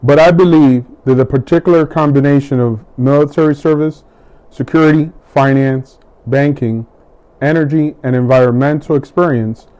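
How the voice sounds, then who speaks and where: A middle-aged man from the United States giving a measured, deliberate campaign speech, pausing between each item he lists. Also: mid-range voice, warm tone, dull audio. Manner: measured, deliberate, with long pauses between list items. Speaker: political hopeful.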